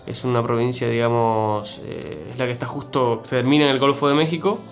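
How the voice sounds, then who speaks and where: A man's voice: medium pitch, steady tone, a little fast, soft background music. Cuban man with a part-Argentine accent, hesitant. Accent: Cuban with Argentine mix. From Cuba. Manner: hesitant, many fillers and restarts. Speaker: interview guest. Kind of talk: conversational interview.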